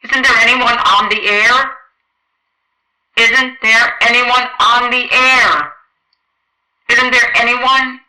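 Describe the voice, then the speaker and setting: A woman's voice: fairly high pitch, fairly even intonation, sharp tone, full character voice. A woman playing a desperate lone radio operator in a radio drama, calling out repeatedly and pleadingly in an echoing space. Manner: slow, repetitive, pleading call-out. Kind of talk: radio play acting.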